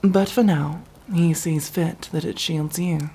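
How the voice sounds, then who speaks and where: Zealous battle nun, played by a woman, sci-fi audio roleplay. Unhurried, solemn, preacherly delivery over noticeable background noise. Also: on the low side, steady tone, dark tone. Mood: gentle, pious. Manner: solemn, preaching, archaic formal phrasing. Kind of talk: ASMR roleplay, dramatic monologue.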